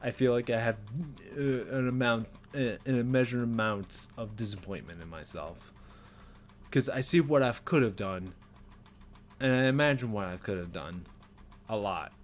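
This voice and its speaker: A man in his twenties rambling self-critically in an unedited personal vlog, with nervous laughs and many fillers, recorded in a small bedroom on a desk webcam. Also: lowish voice. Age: 20s. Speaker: vlogger.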